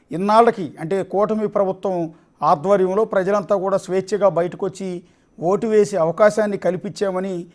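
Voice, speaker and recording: High-pitched male news anchor. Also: darkish tone. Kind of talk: live election news presenting and commentary.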